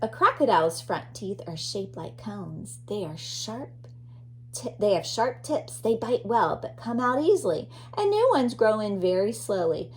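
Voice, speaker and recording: Slow-reading woman teacher, slightly noisy recording. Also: mid-range voice. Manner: expressive, addresses young listeners with questions and interjections. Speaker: teacher reading a children's book aloud. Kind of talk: read-aloud of a children's nonfiction book with asides.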